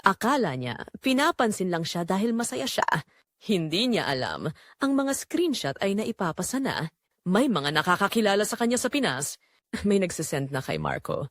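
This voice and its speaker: A Filipino woman narrates a scripted drama story. Her mid-pitched voice moves up and down in an animated way, and the sound is dry and close, with little room around it. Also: normal speed, no echo.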